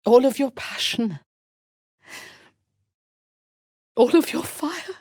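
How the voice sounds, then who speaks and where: A woman playing a cruel, haughty dark elf warlord in a fantasy video game, speaking formally and deliberately. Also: high voice, animated, no echo, clean studio sound. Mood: cold anger. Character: cruel, imperious female dark elf warlord, cold and devout. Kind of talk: dramatic fantasy game voice acting.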